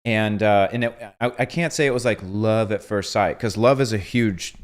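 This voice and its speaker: A man in his twenties hosting a casual livestream chat, telling stories with earnest, animated quoted asides. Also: on the low side, moderate pace. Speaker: host.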